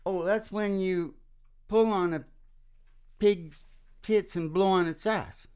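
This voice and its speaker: A woman's voice: medium pitch, slow pace, a little mellow, clear, resonant tone. A woman chatting casually and playfully as she hosts a live music show. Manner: jokey, playful. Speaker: singer and show host. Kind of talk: casual joking chat between live songs.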